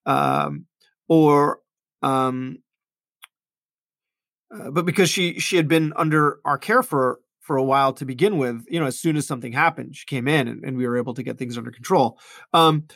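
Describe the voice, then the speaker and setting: A man's voice: mid-range voice, moderate pace, vocal fry, a bit bright, no echo, studio quality. A man speaking as an ear, nose and throat doctor, talking casually about patient cases and often repeating words as he thinks aloud. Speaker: ENT physician.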